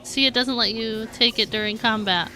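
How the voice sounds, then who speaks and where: A woman's voice: a little high, fairly even intonation, normal speed. A woman game streamer giving chatty, informal, self-deprecating live commentary as she plays. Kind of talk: casual live gameplay commentary.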